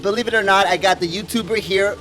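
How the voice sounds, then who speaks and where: A man with a fairly high voice hosts a relaxed interview, chatty and encouraging, dropping "bro" into his questions. Music runs under his voice throughout. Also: quick pace, rough recording. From grew up in Florida. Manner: friendly, lots of "yeah, yeah" and "bro". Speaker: podcast host. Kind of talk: casual interview.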